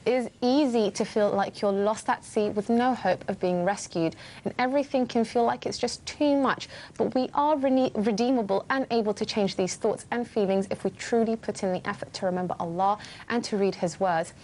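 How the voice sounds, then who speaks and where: A woman's voice: medium pitch, moderate pace, hardly any pauses, darkish tone, a little hiss or hum, rough recording. Earnest woman hosting a panel. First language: not Arabic. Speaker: host.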